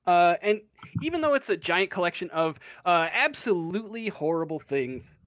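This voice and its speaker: A woman narrating an improvised tabletop game as game master, speaking informally and haltingly. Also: on the low side, sing-song, dry recording.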